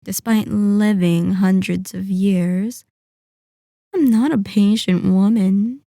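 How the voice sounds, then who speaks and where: An ancient vampire mistress, acted by a woman for an intimate audio roleplay, savors every word at an extremely slow pace. Her voice is dark and velvety, edged with a gravelly creak. She taunts and commands like a possessive sadist who knows the prey is already hers. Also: medium pitch, no room sound, studio quality. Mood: gloating, amused. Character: centuries-old sadistic, possessive female vampire, silkily menacing. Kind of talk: intimate ASMR roleplay acting.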